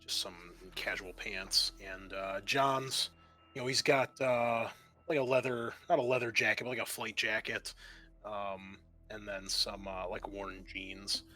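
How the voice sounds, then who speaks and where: A man narrating a tabletop role-playing game as game master, haltingly, often circling back to rephrase. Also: lowish voice, fairly even intonation, sharp tone. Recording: music behind the voice. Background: music.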